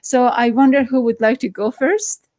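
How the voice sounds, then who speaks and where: Brief: female interview host, middle-aged, bright-pitched, dry studio-close mic, hesitant delivery. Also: no echo. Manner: halting, many fillers and restarts. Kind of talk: hosted video interview. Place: home study with bookshelves. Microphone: headphones and desk mic.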